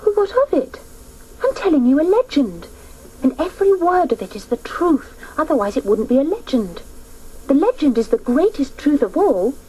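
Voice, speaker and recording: A woman's voice: sits high, very animated, normal speed, warm tone, slight background noise, low-quality recording. A woman playing a proud, earnest young girl who tells a legend solemnly and lyrically in a radio drama. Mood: indignant, earnest. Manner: solemn, lyrical, emphatic telling. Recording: music behind the voice.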